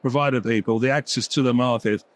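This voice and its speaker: Measured man on a business panel. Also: medium pitch, fairly even intonation, no room sound. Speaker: company executive. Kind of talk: panel conversation.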